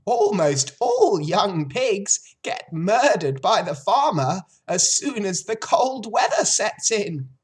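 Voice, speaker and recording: A snappish old ewe from a storybook, acted by a woman, pitched high and pushed out firmly. She is blunt, grimly knowing and matter-of-fact. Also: very animated, slow, hardly any pauses, slightly rough, heavily acted. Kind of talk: storybook character voice.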